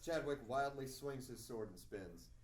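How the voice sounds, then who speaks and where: A man's voice: mid-range voice, animated, measured pace. A man narrating the action lines of a screenplay at a table read. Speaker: stage direction reader. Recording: echoey room, distant mic, faint noise.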